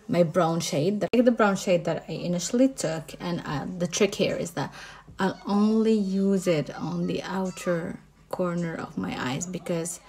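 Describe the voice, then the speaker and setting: A woman with a Moroccan accent, a makeup artist casually explaining each step of her makeup routine. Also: fairly low pitch.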